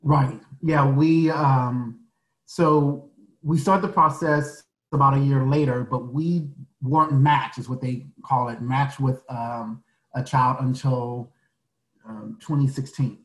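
A man in his forties, a podcast guest answering questions earnestly, halting with many fillers. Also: mid-range voice, fairly even intonation, echoey room, poor audio. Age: 40s. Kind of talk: casual interview answers.

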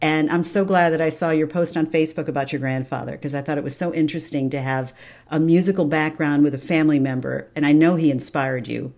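A woman's voice: deep voice, steady tone, fast, darkish tone. A woman hosting an interview podcast, asking questions in a curious, encouraging way.

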